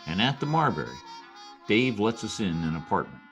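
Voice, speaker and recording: A man playing a wisecracking Broadway hustler who narrates his tale in old-time radio drama style, full of street slang and deadpan turns. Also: on the low side, moderate pace. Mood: wry. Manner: folksy present-tense slang, deadpan storytelling. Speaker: narrator and supporting character. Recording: music behind, home video-call radio play.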